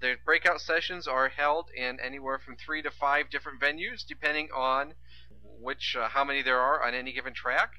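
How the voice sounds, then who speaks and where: A man's voice: medium pitch, fairly even intonation, a little fast, bright, forceful tone, low-quality recording. A man moderating a conference, giving introductions and announcements.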